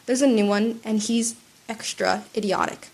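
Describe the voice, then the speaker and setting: A sniffly woman reading the lines of a tired, blunt male hero in a dry, deadpan way. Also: a little high, normal speed, breathy edge, low-quality recording. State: sick, slightly sniffly.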